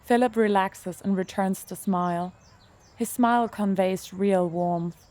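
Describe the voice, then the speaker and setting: A woman narrating an audio drama with gentle, measured storytelling. Her voice sits at a medium pitch and is steady throughout. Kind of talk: scripted narration.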